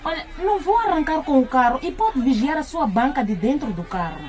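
A patient, courteous, well-to-do lady in a radio play. Her voice is slightly high and very expressive, coaxing and conciliatory, with faint bustle behind her. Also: a little fast, a faint crowd. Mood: polite, coaxing. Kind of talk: radio drama acting.

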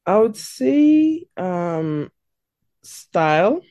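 Guest in her twenties from South Africa, warm and thoughtful, close and dry. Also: mid-range voice, very animated, very slow, no echo. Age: 20s. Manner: thoughtful, searching for words. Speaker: stylist and guest. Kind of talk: interview answers.

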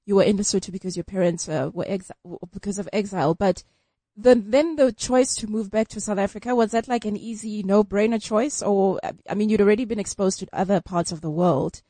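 A woman's voice: mid-range voice, a little fast, few pauses, warm tone, no echo. A woman co-hosting a casual chat, asking earnest, hesitant questions.

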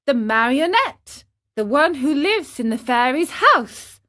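A woman's voice: high-pitched, very animated, takes it slowly, pushed and bright, voice pushed up, heavily acted. A woman voicing a pleading, hot-tempered wooden marionette boy in a children's storybook. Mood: eager. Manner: pleading, exclamatory.

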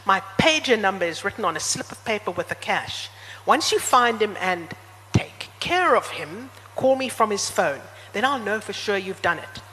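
A woman reader acts out a shady, jittery businessman from a storybook. The voice wobbles through a terrible put-on Cockney, halting and anxious. It sounds bright and carries across an echoey hall with some noise behind it. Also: mid-range voice, lively intonation. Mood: nervous, urgent. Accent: fake Cockney. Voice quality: quavering, wobbly. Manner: nervous, halting, blurting.

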